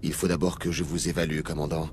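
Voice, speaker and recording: A deep-voiced, solemn alien agent. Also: male voice, little pitch movement, darkish tone, slight background noise. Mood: grave, measured. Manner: measured, solemn, authoritative. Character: grave alien special agent, seasoned male evaluator. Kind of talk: video game cutscene acting in French.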